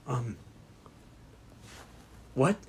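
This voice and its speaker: A man's voice: drawn-out words, darkish tone. A man acting in a horror audio play as a timid, desperate young patient who stammers and hesitates. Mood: uneasy, stammering. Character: anxious, desperate young male patient, timid and self-hating.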